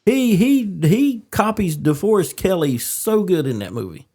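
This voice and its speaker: A man chatting casually about movies as a podcast panelist, with animated, exclaiming reactions. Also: sits high, moderate pace.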